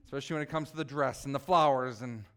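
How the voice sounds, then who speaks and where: A man preaching a relaxed, conversational sermon, joking with his congregation and teasing people by name. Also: mid-range voice, fast. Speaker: pastor.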